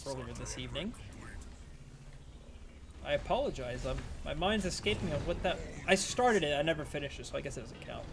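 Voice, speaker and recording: A man's voice: fairly high pitch, expressive, fairly quick, gravelly, background noise. A man streaming a game and chatting casually with viewers, his voice strained and wearing thin. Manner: rambling, chatty, answering chat between plays. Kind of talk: casual live-stream gameplay commentary.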